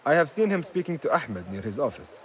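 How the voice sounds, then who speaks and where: A man's voice: on the high side, sing-song, brisk, fairly bright tone, a little hiss or hum. A male actor playing a stubborn, friendly comrade in a conversational video game scene. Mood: friendly, candid.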